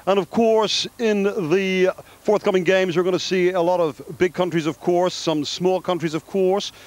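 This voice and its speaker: A deadpan man putting absurd questions to people on the street, with a fairly high voice. He is recorded outdoors on a city street. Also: normal speed. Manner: deadpan, overuses "of course". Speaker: comic street interviewer.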